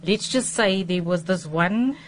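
A woman's voice: fairly low pitch, unhurried, a little hiss or hum. A woman in her forties from South Africa, a radio guest telling her life story candidly with nervous fillers. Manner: candid, nervous, self-deprecating, many fillers. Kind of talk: personal story in a radio interview. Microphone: studio microphone.

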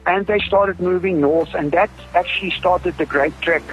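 A South African man with an Afrikaans accent speaks in a rather high voice. He explains earnestly, repeating words for emphasis, like a researcher being interviewed. The sound is dull and lo-fi, with music underneath. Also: normal speed. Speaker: groundwater researcher, interview guest. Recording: dull lo-fi audio with music behind.

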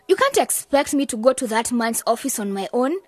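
A woman's voice: on the high side, animated, fairly quick, no room sound. An actress playing an eager, image-conscious young woman in a radio drama. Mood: earnest.

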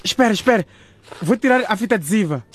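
A man's voice: sits high, animated, quick pace. A young man playing an emotional, anxious schoolboy son in a radio drama. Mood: alarmed. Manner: emotional, talking to himself. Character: sulky, anxious schoolboy son, easily alarmed.

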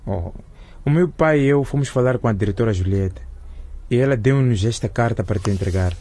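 A man's voice: medium pitch, fairly quick, warm tone, close to an everyday voice. An actor playing an earnest, apologetic teenage boy in a radio drama.

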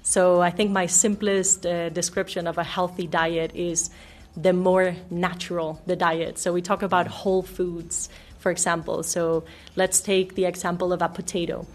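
Woman, American-sounding English, nutrition expert, lower pitch, clear measured explaining. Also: flat delivery. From Denmark and Mexico. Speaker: nutrition officer guest. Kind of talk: radio interview. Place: radio studio.

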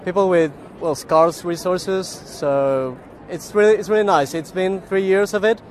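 Man, slow halting speech, higher voice, outdoor street sound. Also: a little mellow, a little hiss or hum. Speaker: interviewee.

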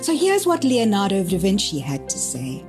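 A woman's voice: mid-range voice, wildly swooping pitch, moderate pace, over music. A woman, hosting a conversational podcast interview. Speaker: podcast host.

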